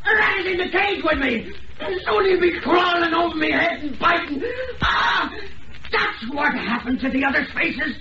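An actor playing a terrified, trapped villain, wailing in panic in an old-time radio horror play. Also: male voice, extremely high pitch, vocal fry, recorded from a distance, exaggerated voice. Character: terrified trapped villain screaming helplessly about a rat. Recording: muffled, noisy, echoey old radio recording.

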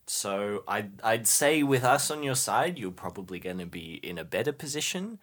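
A man playing in an improvised role-play game, arguing in character with halting, exasperated repeats. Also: on the low side, lively intonation, fairly bright tone.

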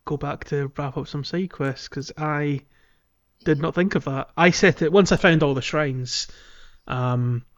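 A man's voice: a little high, measured pace, highs cut off. A man hosting a casual video game podcast, chatting informally and explaining things. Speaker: podcast host.

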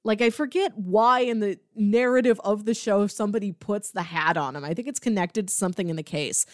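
A woman podcast co-host in a casual, enthusiastic chat about a TV series, quick to laugh. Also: medium pitch, no echo, studio quality.

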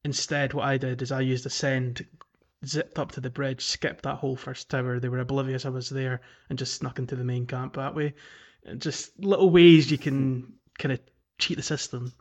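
A man's voice: medium pitch, level tone, moderate pace. Man chatting casually on a gaming podcast. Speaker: podcast host. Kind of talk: casual video game chat.

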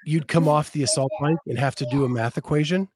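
A podcast host asking interview questions in a jokey, relaxed way. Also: mid-range voice, fast talker, crowd noise.

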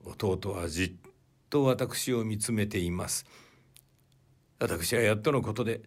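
Humble, troubled confessor in a period audiobook, played by a male reader: lowish voice, polite, measured storytelling. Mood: troubled, subdued. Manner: polite, humble, measured first-person recounting.

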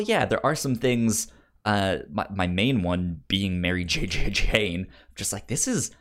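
A man in a casual film debate as a co-host, talking haltingly and often stammering and repeating words. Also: lowish voice, fairly bright tone.

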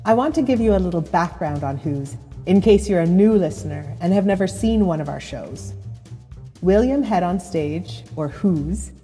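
A woman's voice: lowish voice, animated, normal speed, dark tone, in a large hall, soft background music. A woman hosting, giving a scripted podcast introduction. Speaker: host.

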